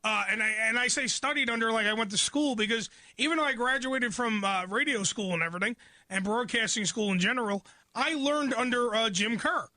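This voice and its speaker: A woman's voice: fairly high pitch, a little fast, pushed and bright, no echo. A woman hosting a radio show, interviewing in a casual, blunt, opinionated and informal way.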